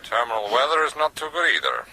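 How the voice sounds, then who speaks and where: An actor playing a businesslike, unflappable airline operations officer in a radio drama, formal and matter-of-fact. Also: male voice, mid-range voice, huge pitch swings, fast, bright, forceful tone, a little hiss or hum, full character voice, poor audio. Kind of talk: broadcast radio drama acting.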